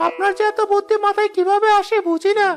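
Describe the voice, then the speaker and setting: A man voicing a sly, resentful henchman of a village headman in a cartoon, in a squeaky put-on voice. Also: steady tone, fast talker, vocal fry, a bit bright, soft background music, heavily acted. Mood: puzzled. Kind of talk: animated moral story voice acting.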